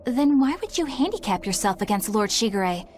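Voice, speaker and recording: A woman's voice: mid-range voice, very animated, moderate pace, darkish tone, a little hiss or hum, close to an everyday voice. A woman playing a proper, idealistic young knight in a video game, speaking formally and earnestly. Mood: questioning. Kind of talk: English video game dub acting.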